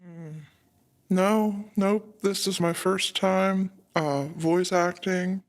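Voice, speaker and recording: Male guest, lightly high-pitched, dry mock-serious delivery in casual chat. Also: extremely slow. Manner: deadpan, mock-serious joking. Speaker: podcast guest, voice actor.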